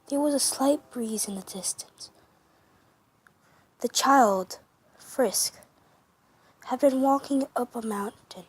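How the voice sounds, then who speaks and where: A woman narrating a fan story for her first amateur comic dub, halting with many restarts and self-corrections. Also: fairly high pitch, a little mellow, breathy edge, no room sound. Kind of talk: reading a fan story aloud.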